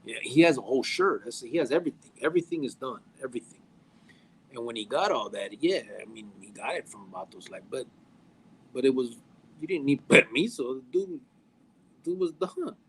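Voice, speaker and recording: A man, chatting casually on a podcast, halting with fillers and restarts and mixing in Spanish slang. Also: medium pitch, expressive, slight background noise, low-quality recording. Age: 40s. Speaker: podcast guest.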